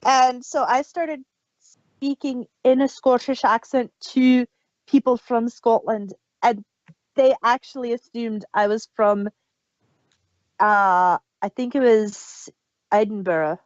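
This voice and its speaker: A woman's voice: fairly high pitch, fairly even intonation, takes it slowly, no echo. A woman hosting a show, chatting casually and telling anecdotes on a dull, lo-fi recording. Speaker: host of an audio drama review.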